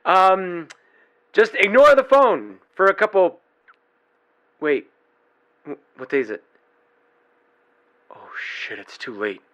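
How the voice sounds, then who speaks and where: An actor playing a frantic everyman husband in a dark comedy audio drama, halting and agitated as he talks. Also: high voice, wildly swooping pitch, full character voice. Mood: rattled. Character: frantic everyman husband fielding and leaving eerie phone messages.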